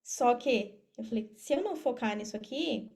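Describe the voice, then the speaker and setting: A Brazilian woman with a clearly high voice speaks as a guest on a podcast. She explains things in a casual, conversational style, often addressing her listeners directly. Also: animated, normal speed, darkish tone. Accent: Brazilian Portuguese. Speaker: podcast guest, affiliate marketer and mentor. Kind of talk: casual interview talk.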